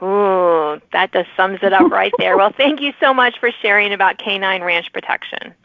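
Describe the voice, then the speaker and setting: A woman interviews a guest for a podcast in a chatty, conversational way, reacting with small interjections. Her voice sits at a middling pitch. The recording is narrow and phone-like, with very little room sound around her. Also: moderate pace, creaky, no room sound. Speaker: podcast host.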